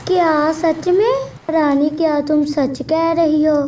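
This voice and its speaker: A woman voicing a lost little boy elephant, gentle, earnest and homesick, in a children's cartoon. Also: high voice, slow pace. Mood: hopeful. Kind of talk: children's cartoon story acting. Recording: distant voice with steady rain noise.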